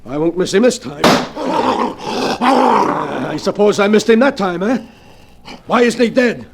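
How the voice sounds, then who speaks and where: A man's voice: high voice, lively intonation, slow, gravelly, very noisy, full character voice. An actor playing a ruthless, hunted male crook in a 1940s radio drama, talking in a hard-boiled gangster way. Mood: menacing.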